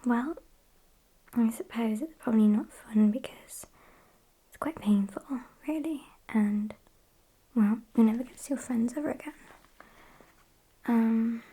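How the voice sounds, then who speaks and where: A British woman voicing a sassy, smug female vampire in a soft, breathy ASMR roleplay whisper, with hesitant pauses and mock-polite condescension. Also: a little high, low-quality recording. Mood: smug, matter-of-fact.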